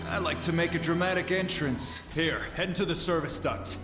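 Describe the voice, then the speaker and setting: Cocky high-voiced robot soldier, played by a man. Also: moderate pace, natural voice. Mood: cocky, breezy. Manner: breezy, wisecracking. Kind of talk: video game cutscene acting. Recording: echoing hall, very loud music behind.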